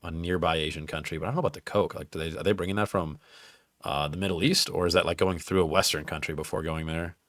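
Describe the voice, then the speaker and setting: Young guy podcast host, deep voice, swears a lot, laughs a lot, dry mic. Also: steady tone, fast, fairly bright tone, dry recording. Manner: laughs often, swears freely, teasing. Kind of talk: casual crude banter.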